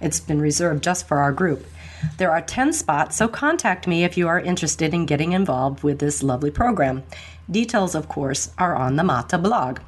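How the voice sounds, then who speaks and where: A woman's voice: deep voice, lively intonation, moderate pace, husky. A woman hosting a podcast in a scripted, upbeat way, mixing Italian phrases into her English. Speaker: podcast host.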